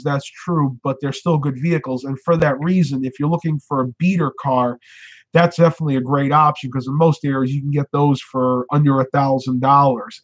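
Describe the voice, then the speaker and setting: A man's voice: a little high, a bit bright. A young American man chatting casually as the host of a livestream. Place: small furnished bedroom, indoors.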